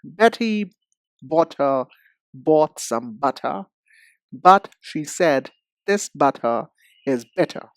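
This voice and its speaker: A man teaching English pronunciation in a deliberate, instructive way, speaking directly to the learner. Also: fairly high pitch, animated, no echo. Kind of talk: instructional lesson.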